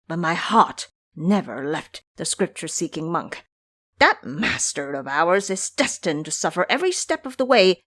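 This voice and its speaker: Woman acting a cocky hot-tempered monkey king, swooping intonation. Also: fairly low pitch. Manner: imperious, taunting, scolding.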